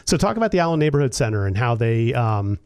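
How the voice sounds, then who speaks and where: A man's voice: medium pitch, big pitch swings, quick pace, darkish tone, clear, resonant tone, no room sound, clean studio sound. A man hosting a casual interview podcast, enthusiastic and effusive in his praise. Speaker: podcast host.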